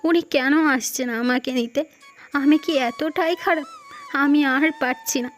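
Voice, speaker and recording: A meek young cartoon wife with a high, plaintive voice performed by a woman. She sounds deferential and devoted in a melodramatic style, over background music. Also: moderate pace, natural voice. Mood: tearful, despairing.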